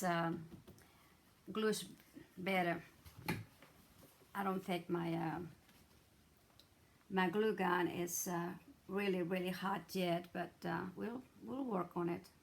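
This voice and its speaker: A hoarse middle-aged woman, chatting her way through a craft tutorial with many fillers and restarts. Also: medium pitch, a bit bright, a little hiss or hum. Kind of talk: casual step-by-step craft demonstration.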